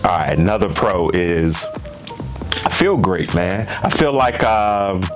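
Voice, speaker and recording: A man's voice: sits low, expressive, slow, over music. A man in his fifties chatting casually to his viewers, repeating himself and tagging lines with questions. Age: 50s. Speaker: gaming channel host. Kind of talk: casual chat to camera.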